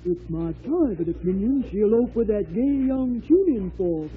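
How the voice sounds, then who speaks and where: A woman's voice: medium pitch, animated, moderate pace, lightly acted. An actress playing a jaunty, folksy female ferryboat captain in an old radio children's drama, with a drawling, slangy manner. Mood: gossipy, ominous. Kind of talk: 1940s radio acting. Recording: muffled, band-limited old radio recording.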